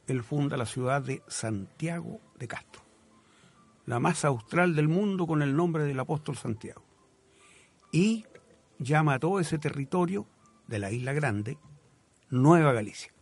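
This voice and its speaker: A man's voice: on the high side, animated, a little slow, pauses often. A man with a Chilean accent talking as a guest writer in a live radio interview, reflective and often searching for words in literary phrasing.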